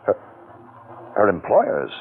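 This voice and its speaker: Scheming young gent, lowish voice, lively nervous stammer, tinny radio. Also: male voice, slow pace, faint music behind, full character voice. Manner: nervous, stammering when thrown. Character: smooth young man scheming for money. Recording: tinny old radio broadcast.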